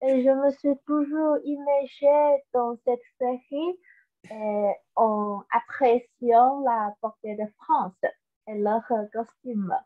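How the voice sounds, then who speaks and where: A Taiwanese woman whose first language is Mandarin, speaking French slowly and hesitantly on a video call. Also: fairly high pitch, steady tone. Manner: slow, hesitant French learner with many pauses. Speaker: interview guest. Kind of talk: casual interview chat. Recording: tinny phone-like line.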